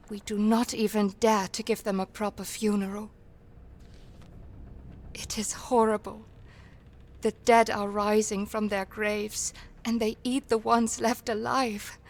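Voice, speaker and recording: An actress voices a lovesick, frightened village woman telling her diary in a video game story. Her delivery is very slow, measured and sorrowful, with some background noise around the voice. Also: mid-range voice, natural voice. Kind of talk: scripted game narration, diary read aloud.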